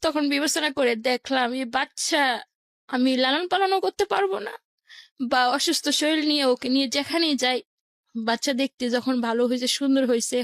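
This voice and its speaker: Higher-pitched woman telling her life story. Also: dry recording. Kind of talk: personal first-person storytelling in an interview.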